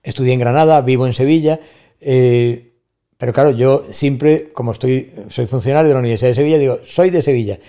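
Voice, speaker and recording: A middle-aged man with an Andalusian accent talks through ideas and anecdotes at his own pace. His voice is dark and mellow. He steers the chat with rhetorical questions and small stories. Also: medium pitch, a little fast. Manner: storytelling, thoughtful, with rhetorical asides. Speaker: guest, university staff member. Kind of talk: casual conversation on a podcast.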